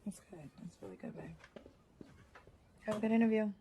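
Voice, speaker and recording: A woman's voice: mid-range voice, little pitch movement, fairly quick, vocal fry, slight background noise, close to an everyday voice. An actress playing a troubled, guarded married woman in a naturalistic drama, with blunt, streetwise, profane speech. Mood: flat, subdued. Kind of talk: naturalistic screen drama acting. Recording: loud music behind the voice. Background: music.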